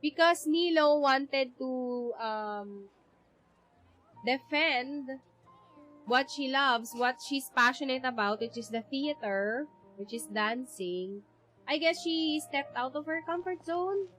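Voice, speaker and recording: A woman with a Filipino accent giving casual live game commentary, halting as she thinks aloud. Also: sits high, animated, fairly bright tone, poor audio. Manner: thinking aloud, halting, switching between English and Tagalog. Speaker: game streamer. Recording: game music underneath.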